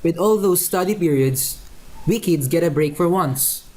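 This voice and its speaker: A woman's voice: on the low side, a little slow, darkish tone. A woman playing a breezy, oblivious, talkative teenage neighbor boy in a radio play. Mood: cheery.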